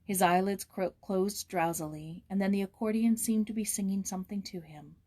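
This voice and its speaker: A woman narrating a storybook aloud in a gentle, measured, expressive way. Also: medium pitch, dry recording.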